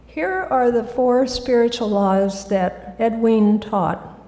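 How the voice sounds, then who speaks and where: A woman giving a gentle, soft-spoken talk as a guest speaker in a church. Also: a little high, slow pace. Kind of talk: sermon talk. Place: church sanctuary. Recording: echoey hall.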